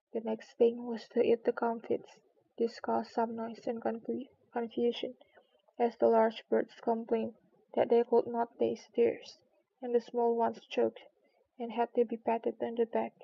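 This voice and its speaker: A woman's voice: fairly high pitch, vocal fry, breathy edge. A woman narrating a children's storybook aloud in a careful read-aloud style. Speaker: streamer reading books aloud. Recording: slightly dull, lo-fi sound.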